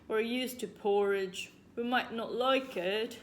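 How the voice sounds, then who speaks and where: Woman voicing a slow, doubtful teddy bear. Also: on the high side, a little hiss or hum. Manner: hesitant, drawn-out. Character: cautious, reluctant male teddy bear, porridge-loving.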